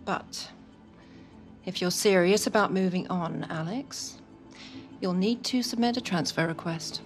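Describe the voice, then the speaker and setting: An actress playing a calm, businesslike football club manager in a video game cutscene. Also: female voice, fairly low pitch, a little slow, faint music behind.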